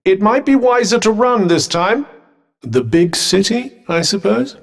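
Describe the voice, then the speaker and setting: A man's voice: high-pitched, very animated, a little slow, vocal fry, long reverb tail, full character voice. A man with a British accent playing a sardonic, pompous magical talking vambrace in a video game, speaking in formal, wordy phrases with dry wit. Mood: dry, urging. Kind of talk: video game character dialogue.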